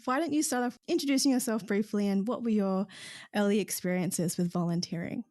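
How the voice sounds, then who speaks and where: A woman's voice: medium pitch, a little fast, a little mellow, no room sound, studio quality. A woman hosting a podcast, reading a scripted introduction and asking interview questions in a friendly, encouraging way. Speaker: podcast host.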